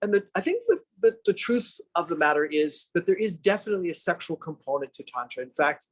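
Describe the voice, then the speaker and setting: A man hosts a casual podcast interview with an eager, upbeat manner. His voice is a little high for a man, and he stumbles and restarts often as he talks. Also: big pitch swings, fast. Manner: enthusiastic, stammering restarts. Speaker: podcast host.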